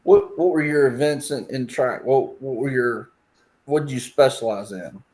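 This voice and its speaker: A man's voice: mid-range voice, sing-song, normal speed, a little mellow. Easygoing male interviewer. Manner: easygoing, repeated "okay" acknowledgments. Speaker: sports scouting interviewer. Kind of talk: casual interview questions.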